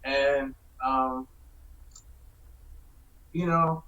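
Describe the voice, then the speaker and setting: Guy from michigan rambling thru his music story on a zoom call, bright tone, sounds far off and kinda dull. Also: medium pitch, fairly even intonation. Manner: rambling, halting storytelling with fillers. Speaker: musician guest. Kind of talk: casual interview over video call. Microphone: video call. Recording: distant, dull video call audio.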